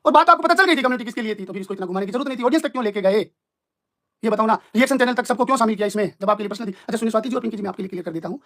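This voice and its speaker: A man's voice: high-pitched, racing, a bit bright. A man in an informal live-stream argument, explaining himself in an emphatic, repetitive, combative way.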